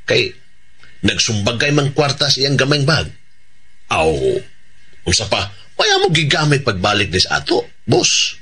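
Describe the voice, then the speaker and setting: A man's voice: mid-range voice, huge pitch swings, moderate pace, sharp tone, slightly rough, exaggerated voice. A man with a Cebuano Filipino accent playing a cheerful hired killer in a radio drama, deferential to his bosses and chuckling between sentences. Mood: gloating, casual.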